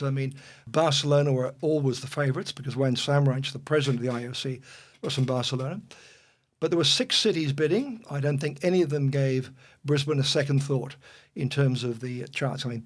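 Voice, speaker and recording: Older Welsh-born man reminiscing. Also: mid-range voice. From Wales. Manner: rambling with frequent fillers and restarts. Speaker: guest. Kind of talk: relaxed reminiscing.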